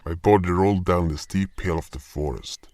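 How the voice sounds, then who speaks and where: A man's voice: sits low, normal speed, darkish tone, no echo. A man reading scripted first-person narration for a fantasy audio story.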